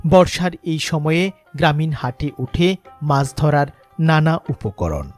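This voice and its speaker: A Bangladeshi man reading scripted, sentimental documentary narration in a measured, lyrical way. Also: fairly high pitch, lively intonation. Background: background music.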